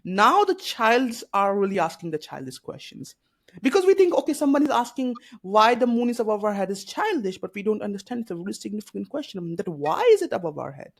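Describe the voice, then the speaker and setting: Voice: medium pitch, big pitch swings, fairly quick, gravelly. A podcast host speaking earnestly and with strong opinions, leaning on "you know" and rhetorical questions in a casual conversation.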